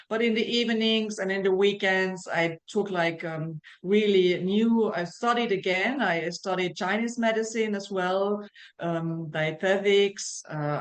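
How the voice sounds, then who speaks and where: A middle-aged woman from Germany with a German accent, a naturopath guest speaking in a casual interview with frequent fillers and restarts. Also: mid-range voice. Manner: hesitant, many fillers and restarts.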